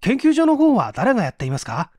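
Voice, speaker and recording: Man acting earnest young engineer, animated and direct. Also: very high voice, quick pace, dry recording, clean studio sound, voice pushed up, heavily acted. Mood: polite, brisk. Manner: polite, businesslike, direct. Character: earnest, brisk young male steel engineer. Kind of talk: acted audiobook dialogue.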